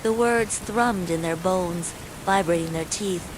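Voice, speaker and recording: A woman's voice: on the low side, a little slow. A woman reading a scripted horror bedtime story, with falling rain behind her. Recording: steady rain noise throughout.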